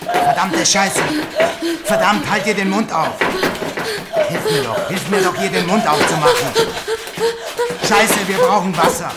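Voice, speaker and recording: A man playing a gruff, bullying man in a wartime audio drama, barking curses and orders. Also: high-pitched, big pitch swings, measured pace, long pauses, over a noisy crowd. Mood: panicked, furious. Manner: barking, cursing, commanding. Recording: very noisy, echoey room recording.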